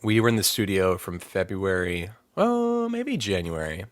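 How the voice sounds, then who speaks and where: A man's voice: low-pitched, big pitch swings, a little slow, no room sound. A man talking as a podcast guest in easygoing chat, rambling with fillers and restarts. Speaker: band vocalist, podcast guest. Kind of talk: casual interview chat.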